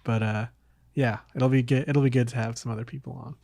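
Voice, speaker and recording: A man's voice: medium pitch, steady tone, a little fast, creaky, warm tone, dry recording. A man hosting a casual podcast, talking in a hesitant way as if thinking aloud. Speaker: podcast host.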